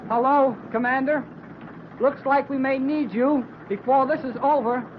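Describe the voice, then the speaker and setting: An actor playing an eager, brisk boy radio operator in an old-time radio adventure, talking in earnest, slangy, urgent lines. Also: very high-pitched, fairly even intonation. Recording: noisy, muffled old radio recording.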